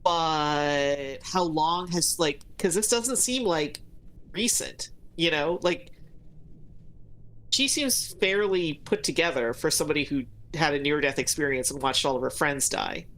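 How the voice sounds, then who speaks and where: A woman co-host chatting casually about a game, thinking aloud in a halting way. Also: sits low, a bit bright, poor audio. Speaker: gaming co-host. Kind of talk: casual chat reviewing a game.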